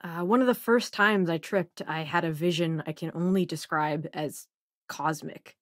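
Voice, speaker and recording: A woman's voice: sits low, moderate pace, a bit bright, no room sound, clean studio sound. A woman playing a sassy, self-assured young scientist and smuggler companion in a space video game, with a wry, casual manner. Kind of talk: acted video game dialogue lines.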